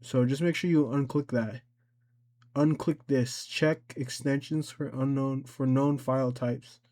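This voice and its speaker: Man, mid-pitched voice, casual tutorial host, unscripted, halting, repeats himself, thinks aloud. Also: fairly even intonation, warm tone. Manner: informal, halting, repeats instructions and corrects himself.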